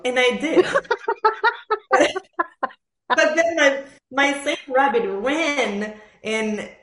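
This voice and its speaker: Female interview guest, mid-pitched, from Montreal, conversational storyteller, roomy echoing sound. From born in Argentina, raised in Montreal, Canada. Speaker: podcast guest, professional orchestral bassoonist. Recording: echoey room sound.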